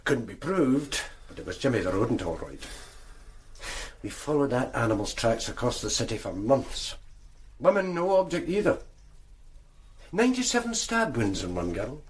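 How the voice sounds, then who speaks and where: A man playing a veteran detective sergeant in a radio drama, speaking in a grim, low-key way. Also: sing-song, normal speed, slight background noise, close to an everyday voice. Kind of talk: 1990s radio drama acting.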